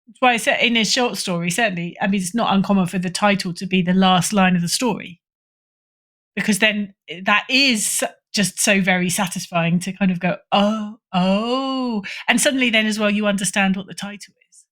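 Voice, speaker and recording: A woman's voice: medium pitch, normal speed, a bit bright. A woman speaking as an editor guest in a casual interview, emphatic and opinionated in a conversational way. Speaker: podcast guest, fiction editor.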